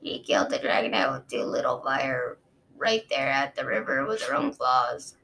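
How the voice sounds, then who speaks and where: A woman voicing a grave male dragon guard, speaking low and measured. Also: little pitch movement, a bit bright, a little hiss or hum. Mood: earnest. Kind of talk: audiobook character reading.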